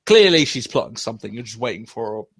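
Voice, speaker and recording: A man's voice: medium pitch, sing-song, fast, fairly bright tone, husky, no room sound. A young man hosting a podcast, reviewing wrestling in casual, profane chat with frequent laughs. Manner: profane, laughs often, rambling. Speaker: podcast host.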